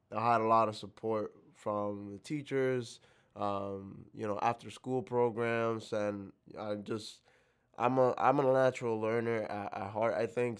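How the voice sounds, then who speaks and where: Low, smooth-voiced young man from the Dominican Republic. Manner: reflective, many fillers and restarts. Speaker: interview guest. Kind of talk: casual interview answers.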